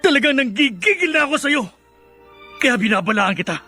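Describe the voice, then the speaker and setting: A woman's voice: sits high, big pitch swings, brisk, music in the background. An actress playing a furious woman at breaking point, menacing and melodramatic, in radio drama style.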